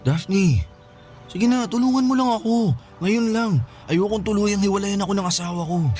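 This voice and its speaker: A man's voice: high-pitched, normal speed, darkish tone, faint music behind, lightly acted. A man playing a desperate, selfish former lover in a Filipino radio drama, pleading and whining insistently. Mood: desperate begging.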